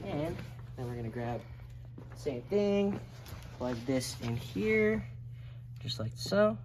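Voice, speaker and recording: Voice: medium pitch, lively intonation, slow pace. A person giving a casual, step-by-step, hands-on tutorial as an instructor. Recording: noisy amateur video recording, background noise.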